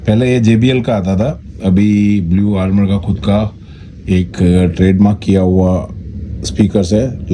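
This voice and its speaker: A man with an Indian accent giving a casual, step-by-step product walkthrough in short, halting phrases. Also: low-pitched, mellow. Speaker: product reviewer and vlogger. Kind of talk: casual unboxing walkthrough, Hindi mixed with English. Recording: noticeable background noise.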